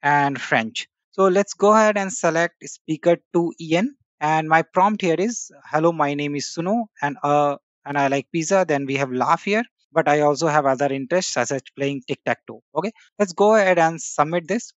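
Filler-heavy man walking through software. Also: fairly high pitch, moderate pace, hardly any pauses, no echo, dull audio. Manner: casual, frequent "uh" and "you know" fillers. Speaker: tech tutorial host. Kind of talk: screen-share software walkthrough.

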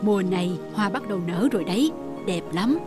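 A woman voicing a gentle, wise elderly mother in a cartoon, speaking softly and steadily. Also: medium pitch, expressive, a little fast, lightly acted. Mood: kindly. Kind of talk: cartoon voice acting. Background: music.

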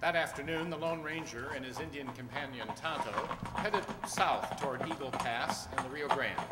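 A man's voice: mid-range voice, normal speed, loud music behind the voice, close to an everyday voice. A man giving scripted old-time radio narration as narrator on a hall stage. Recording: very echoey hall stage recording.

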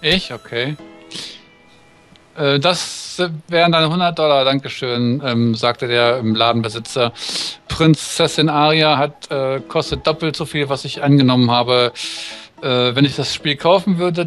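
A man with a medium-pitched voice co-hosts a let's play in a casual way. He translates game text haltingly, pausing mid-sentence, with faint music behind him. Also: fairly bright tone. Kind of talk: casual translating of visual novel text and chat.

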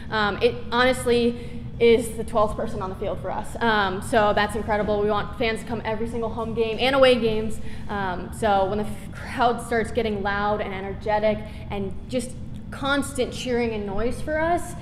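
A woman's voice: a little high, steady tone, moderate pace. A young woman soccer player answering post-match press questions in a casual, upbeat way with many fillers. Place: press conference room. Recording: reverberant room with background noise.

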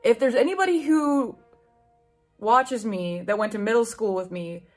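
A woman's voice: fairly high pitch, a little slow, soft background music. A woman in her twenties giving casual, sweary, incredulous reaction commentary as she reads aloud in a room at home. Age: 20s. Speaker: book YouTuber. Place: small room at home with bookshelves.

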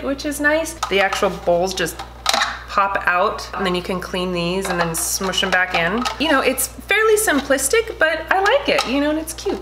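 A chatty woman in her thirties talks through a casual review vlog with lively, animated delivery. Her pitch sits in a middle range. A faint hiss runs under her voice in a home room. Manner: chatty, animated, gestures a lot. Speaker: lifestyle vlogger. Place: home room with shelves, indoors. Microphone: desk camera at mid distance.

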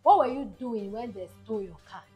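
A woman playing a sly wife in a comedy skit, calm and mocking. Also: a little high, brisk, slightly rough, faint music behind. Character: sly wife who sold her husband's car.

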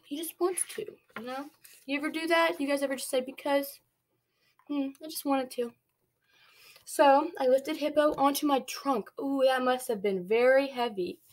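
A woman's voice: high-pitched, darkish tone. A young woman reading a picture book aloud to small children, stopping to explain and ask them questions. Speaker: preschool read-along storyteller. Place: small dim bedroom, indoors.

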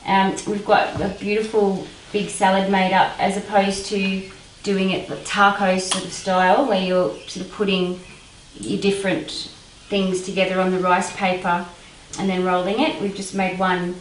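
Woman, thirties, relaxed home-cook demo, roomy kitchen, dish clatter behind. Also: mid-range voice, moderate pace, hardly any pauses, distant mic, slight background noise. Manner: hesitant, many ums, relaxed. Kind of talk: casual cooking demonstration. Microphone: far camera mic. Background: clattering dishes and cutlery.

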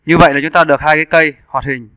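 Guy doing a casual software tutorial, bright crisp voice, step by step, dry sound. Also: a little high, no room sound. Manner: explains step by step, often checking with listeners. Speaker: 3D animation instructor. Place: indoors, screen-recording voice-over.

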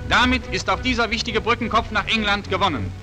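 A man's voice: high voice, a little fast, over music. A man narrating a scripted 1940 wartime propaganda newsreel in an emphatic, declamatory, clipped military manner. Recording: vintage 1940s newsreel film soundtrack.

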